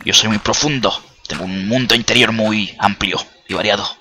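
A man's voice: on the low side, moderate pace, pushed and bright, slight background noise. A man who is not a native Spanish speaker, chatting casually while playing a video game, joking and swearing. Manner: chatty, swears a lot, jokey, startled outbursts. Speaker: gaming video creator. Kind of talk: casual let's-play commentary.

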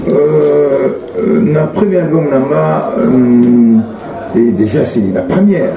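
Gravelly man in a roomy studio. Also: medium pitch, a loud crowd. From Congo. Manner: halting, many fillers and repeats, earnest. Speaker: singer guest. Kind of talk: interview answers. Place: small indoor studio room. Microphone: handheld mic on a stand. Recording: roomy sound with ambient noise.

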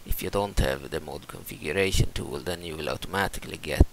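A young Swedish man speaks English with a Swedish accent in a deep, low register with heavy vocal fry. He talks casually and haltingly, often stopping to restart a thought. A faint hiss sits under the recording. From Sweden. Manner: hesitant, many fillers and restarts. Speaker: gaming channel host. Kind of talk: casual gameplay mod walkthrough.

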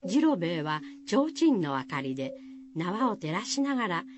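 A woman storyteller giving an expressive, dramatic scripted narration of a folk tale for radio. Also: on the low side, moderate pace.

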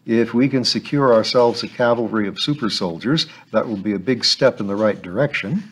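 A man voicing a cheerful, quick-witted adult male agent, slightly brighter than his narrating voice. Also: on the low side, husky, rough recording. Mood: breezy. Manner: cheerful, breezy. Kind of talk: audiobook character voice.

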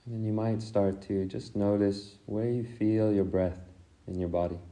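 Low, mellow man guiding a meditation. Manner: soft, gentle, calm, with long pauses. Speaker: meditation teacher. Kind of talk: guided meditation with a read-out reflection.

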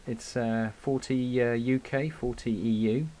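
A man giving an unscripted hobbyist product demonstration in a halting way, with frequent pauses, recorded indoors on a camera mic. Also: medium pitch, steady tone, mellow. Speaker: radio hobbyist presenting gear. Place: indoor room at a radio desk. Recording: clear background noise, clicks.

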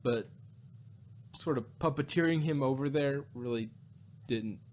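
A man casually discussing an audio drama as a review host, in a halting, rambling way. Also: mid-range voice, a little mellow, slight background noise.

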